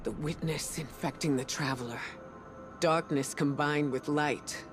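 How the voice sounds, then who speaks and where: Game cutscene role: grave, weary woman mystic facing doom, low and deliberate over loud music. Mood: solemn, awed. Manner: solemn, deliberate, hushed at phrase ends. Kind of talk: dramatic video game cutscene acting.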